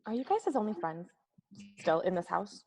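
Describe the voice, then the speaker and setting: An earnest, well-meaning young woman, played by an actress in a comedy script read. Her voice is average in pitch. She presses her points bluntly, with an insistent, questioning delivery. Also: very animated, normal speed, a crowd in the background. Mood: earnest, probing. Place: recorded remotely at home on a video call.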